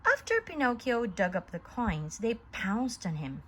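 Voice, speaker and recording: A woman reading a children's story aloud in an expressive, deliberate storyteller's manner. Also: fairly high pitch.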